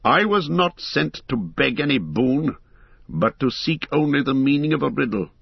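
A man's voice: on the low side, a little slow, vocal fry, slightly rough, dry recording, dull audio, full character voice. A man reading the part of a proud, blunt warrior from a besieged city.

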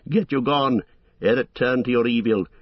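A man voicing a noble warrior who speaks calmly, firmly and in a measured way, on a dull lo-fi recording. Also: mid-range voice, slightly rough, no room sound, close to an everyday voice. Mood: commanding. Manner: calm, firm, measured. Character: noble male warrior-king, calm, commanding.

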